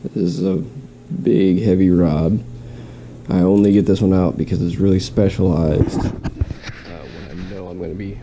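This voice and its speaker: Deep gravelly man with a stuffy nose. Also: steady tone, measured pace, background noise. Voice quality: nasal. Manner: rambling, with restarts. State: stuffy nose, slight cough. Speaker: fishing vlogger. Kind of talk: casual show-and-tell. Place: home, in quarantine.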